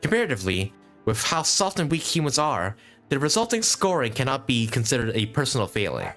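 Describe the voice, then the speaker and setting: A man's voice: mid-range voice, very animated, fairly quick, a bit bright, faint music behind. Man reading as a proud, lofty spirit heroine. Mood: lofty, solemn. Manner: formal, lofty storytelling.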